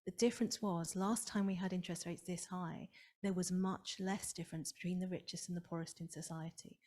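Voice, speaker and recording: A woman's voice: fairly low pitch, steady tone, brisk, mellow. A woman speaking on a conference panel in a conversational, reasoned way, often saying "you know".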